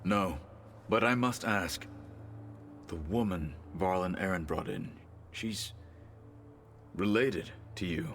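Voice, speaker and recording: Terse, proud low-voiced warrior. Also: male voice, slow, a bit bright. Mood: probing, stern. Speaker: video game companion character. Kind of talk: game cutscene acting. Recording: music behind the voice.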